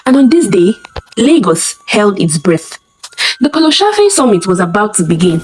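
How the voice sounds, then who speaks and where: A woman's voice: mid-range voice, very animated, moderate pace, darkish tone, husky, faint music behind, low-quality recording. A woman telling a scripted dramatic story as narrator, theatrical and suspenseful, in short punchy phrases.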